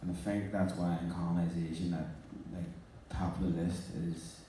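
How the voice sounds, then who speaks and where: Deep-voiced man in echoey hall. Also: flat delivery, normal speed, warm tone, distant mic, a little hiss or hum. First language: Irish. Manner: cheeky, then earnest and reflective. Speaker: panel guest, rapper. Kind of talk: live onstage panel talk. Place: large indoor venue before an audience.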